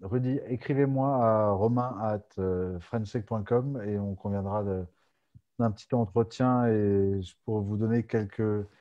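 A man from Aix-en-Provence hosting a casual video-call Q&A, relaxed and chuckling, with frequent hesitant restarts. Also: on the low side, measured pace. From France, Aix-en-Provence. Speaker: podcast host and moderator. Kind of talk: casual Zoom Q&A chat. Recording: dull muffled call audio.

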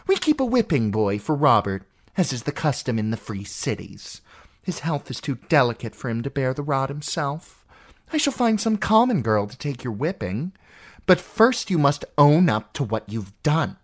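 An audiobook narrator voicing a jealous, unhinged middle-aged noblewoman who accuses in a shrill, spiteful voice that rises into screeching. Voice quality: shrill, piercing. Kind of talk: audiobook character voice.

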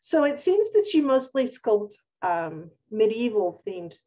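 A woman in her fifties hosts an interview over a video call from her craft studio. Her voice is breathy and swings up and down in pitch as she encourages her guest. The sound comes through dull and muffled. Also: a little slow. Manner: encouraging, lively questioning. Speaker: host.

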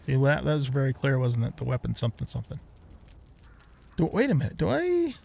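A man's voice: mid-range voice, wildly swooping pitch, a little fast, gravelly, mellow. A middle-aged man giving casual let's play commentary, rambling as he thinks aloud.

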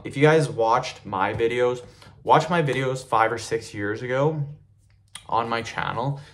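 A young man giving a casual home vlog with a loose, chatty manner, full of ums and repeated words, filmed by the camera in front of him in a small home gym. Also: fairly low pitch. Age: young adult. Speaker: fitness vlogger. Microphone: camera mic in front of him, head and shoulders in frame.

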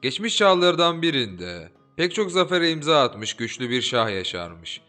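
A man narrating a fairy-tale audiobook in an expressive, lilting storytelling manner. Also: a little high, normal speed. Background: soft background music.

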